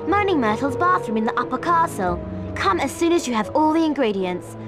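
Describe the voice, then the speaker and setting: A clever, bossy British schoolgirl in a fantasy game. Her high voice is earnest and precise, with music underneath. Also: big pitch swings, a little fast.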